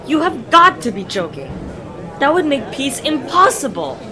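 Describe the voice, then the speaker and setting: A woman playing an earnest, idealistic young boy hero in an animated comic dub. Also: sits high, moderate pace, crowd noise. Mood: disbelieving, insistent.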